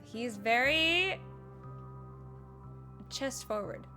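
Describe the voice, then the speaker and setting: A woman's voice: high voice, lively intonation, extremely slow, pushed and bright, soft background music. A woman streaming a game, making casual, musing reactions and comments.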